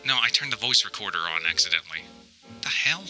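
A man performing a naturalistic, offhand voice-memo monologue at a brisk clip. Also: on the low side, expressive, pushed and bright, faint music behind, rough recording. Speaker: writer and narrator.

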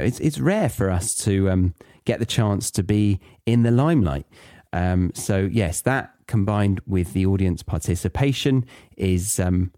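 A man's voice: low-pitched, mellow, no room sound, studio quality. A British man hosting a podcast, chatting casually and explaining things to listeners. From Britain. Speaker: podcast host teaching English learners. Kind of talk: casual solo podcast talk.

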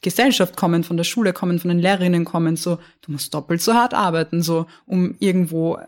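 A woman's voice: lowish voice, fast, a little mellow. A young woman from Austria, a lawyer talking candidly as an interview guest, often restarting and repeating words. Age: young adult. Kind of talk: casual interview conversation.

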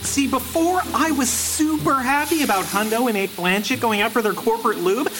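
A woman playing a highly strung, emotional robot boss of a small business in a reality-show parody, melodramatic and fretful. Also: normal speed, over music, lightly acted. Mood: worried.

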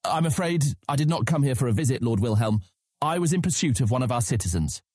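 A man's voice: mid-range voice, lively intonation, fast talker, a little mellow, breathy edge, no echo, clean studio sound. A man acting as a polite, guarded young guildmaster in an audiobook drama, speaking carefully and formally.

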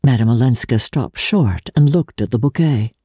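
A woman narrating classic literature as an audiobook reader, in a measured, expressive and theatrical manner. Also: extremely low pitch, slightly rough, dry recording, clean studio sound.

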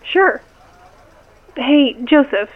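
Harried mother juggling kids, high-pitched, heard through a thin phone line, natural radio drama delivery. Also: animated, drawn-out words, heavily acted. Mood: concerned. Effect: phone call filter. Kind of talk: naturalistic audio drama dialogue. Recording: tinny phone line, faint noise.

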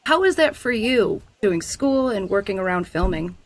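A woman co-hosting an interview, excitable and quick to laugh. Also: medium pitch, slight background noise. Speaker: co-host. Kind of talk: casual interview.

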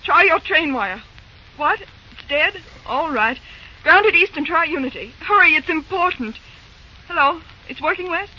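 A fretful young railroad office woman in an old radio drama. Her voice is very high and bright, full of anxious, caring exclamations. The recording is dull and lo-fi. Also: lively intonation, unhurried, full character voice. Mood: urgent.

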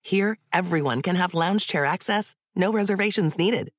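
A woman's voice: on the low side, normal speed, no room sound, studio quality. A woman announcer delivering scripted advertising narration. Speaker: commercial announcer.

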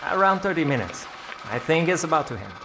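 An actor playing a museum staff member, talking hesitantly with fillers in an audio drama. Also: male voice, fairly high pitch, very animated, fast, noisy background. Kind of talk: scripted audio drama dialogue.

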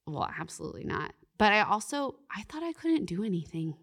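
A woman's voice: mid-range voice, big pitch swings, fairly quick, a bit bright, breathy edge. A young woman, a podcast guest talking earnestly and reflectively about faith, often saying "like" and "you know". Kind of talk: faith-based podcast conversation.

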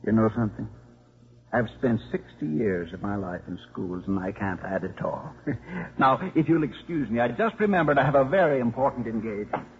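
Man playing a gentle old priest, muffled radio. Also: medium pitch, lively intonation, moderate pace, close to an everyday voice. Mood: gentle, wry. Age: 60s. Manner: hesitant, mild, wryly formal. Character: gentle elderly priest and college rector, drily humorous. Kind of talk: 1950s radio drama acting. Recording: muffled 1950s radio broadcast.

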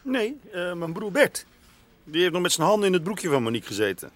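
A man's voice: high-pitched, sing-song, a little fast. A man playing a bumbling, tactless programme researcher in a radio comedy, hesitant and fumbling but blunt. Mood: tactless, casual.

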